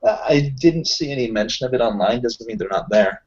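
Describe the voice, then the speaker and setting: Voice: mid-range voice, expressive, a little fast, darkish tone, muffled recording. A roleplay player improvising casual in-character talk as an affable tech contractor, explaining things in a hesitant, rambling way. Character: Kyle, affable self-employed tech contractor.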